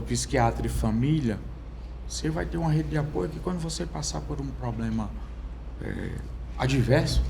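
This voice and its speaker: Halting Brazilian man, gravelly voice. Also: medium pitch, mellow, slight background noise. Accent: Brazilian Portuguese. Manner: halting, many fillers and restarts. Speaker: podcast guest. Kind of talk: personal testimony in conversation. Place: podcast studio.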